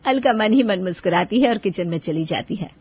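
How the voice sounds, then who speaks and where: A woman's voice: medium pitch, animated, a little fast, husky. A woman narrating a scripted story as a storyteller, on a dull, lo-fi recording.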